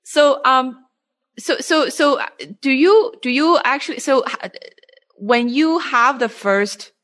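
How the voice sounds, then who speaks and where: A woman conducting a casual interview, phrasing her thoughts haltingly and repeating words as she goes. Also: high voice, fairly bright tone.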